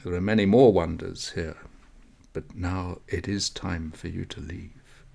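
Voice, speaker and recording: A man reading a storybook, playing a gentle, solemn old grandfather with a measured delivery. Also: low-pitched, fairly even intonation, creaky, slight background noise, exaggerated voice.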